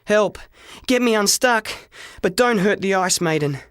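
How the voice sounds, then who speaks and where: A man acting a desperate lovestruck schoolboy, raised pitch. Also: expressive, unhurried, a bit bright, clean tone, dry recording, clean studio sound. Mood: panicked. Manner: urgent, emphatic pleading. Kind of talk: audiobook character acting.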